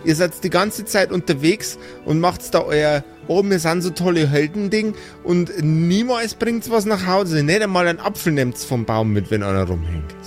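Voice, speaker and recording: A man plays a bossy old dwarf mother in a fantasy audio play. The voice sits fairly high for a man and scolds in earthy Bavarian dialect, full of sarcasm. Soft music plays underneath. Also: animated, moderate pace, hardly any pauses, close to an everyday voice. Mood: scolding.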